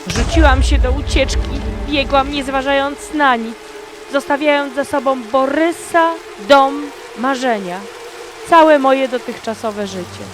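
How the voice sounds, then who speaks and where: A woman's voice: high voice, a little slow, over music. A woman telling a dramatized first-person horror story as a frightened, lonely heroine, with dramatic delivery. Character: frightened lonely young woman fleeing zombies. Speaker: narrator and voice of the lead character.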